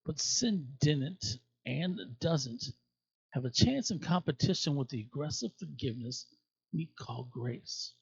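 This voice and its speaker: A man with a husky voice reads scripture expressively, leaning on key words and pausing for effect. His pitch sits in a middle range. The sound is dry and slightly dull, as if the top end were rolled off. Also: animated, dry recording. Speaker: scripture reader at a church.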